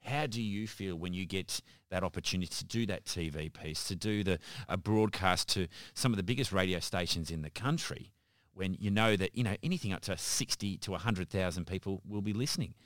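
A man's voice: sits low, fairly quick, a bit bright, dry recording. A man hosting a conversational interview in a wordy, encouraging way, often repeating his phrases. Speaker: interview host.

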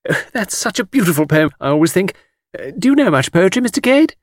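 Man putting on a high, gushing young lady. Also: sing-song, fast, no echo, clean studio sound. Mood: gushing, amused. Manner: coy, breathless, exclamatory. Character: gushing, coquettish young woman tourist, easily impressed. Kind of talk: audiobook character voice.

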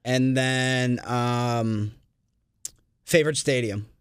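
A man's voice: medium pitch, steady tone, clean tone, no room sound, studio quality. Jokey podcast co-host man. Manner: jokey banter, quick questions. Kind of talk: casual podcast chat.

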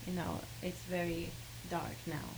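A woman with an Arabic accent who speaks haltingly, with many fillers, and laughs between sentences as she tells a personal story. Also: fairly low pitch, level tone, background noise. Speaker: guest sharing a testimony.

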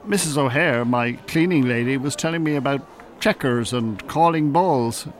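An actor playing a smooth Irish con man posing as a stiff scientist, polite, formal and easily flustered, in radio drama. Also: medium pitch, moderate pace, close to an everyday voice. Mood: probing, earnest.

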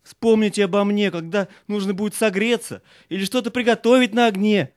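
A man's voice: high voice, fairly even intonation, brisk, no echo, clean studio sound, lightly acted. A man playing a friendly male spirit of fire in an audio play. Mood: fond farewell.

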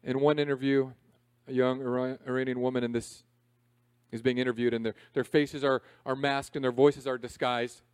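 A man in his thirties preaching a sermon in a conversational, self-deprecating way, swinging between loud emphasis and quiet asides. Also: medium pitch, flat delivery, normal speed, clean tone, no echo, studio quality. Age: 30s. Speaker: pastor.

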